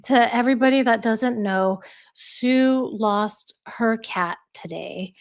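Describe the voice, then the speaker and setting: A woman's voice: on the high side, slow pace. An American woman hosting a casual livestream, reading viewer comments aloud and laughing often as she chats. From United States. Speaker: livestream host.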